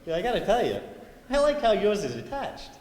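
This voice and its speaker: Actor as a lively cheeky suitor, echoey hall. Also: male voice, high-pitched, a little fast. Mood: cheeky, awkward. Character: cheeky, stammering suitor angling for warmth. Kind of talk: amateur stage comedy. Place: theatre stage during a live play. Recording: echoey stage hall, faint hiss.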